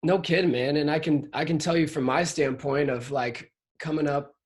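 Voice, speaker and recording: A man's voice: fairly high pitch, fairly even intonation, normal speed. A man in his late twenties hosting a casual chat, talking in loose slang peppered with "man" and "like". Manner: slangy, says "man" and "like" constantly. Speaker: host of a drummers' chat show.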